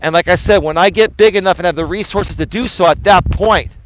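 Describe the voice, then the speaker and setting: A man live streaming outdoors on his phone while walking, talking to viewers in a rambling, emphatic way, sounding tired and a little hoarse. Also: sits high, a little fast, poor audio. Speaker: life coach and live streamer. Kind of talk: casual live stream talk to chat. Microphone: phone camera held while walking.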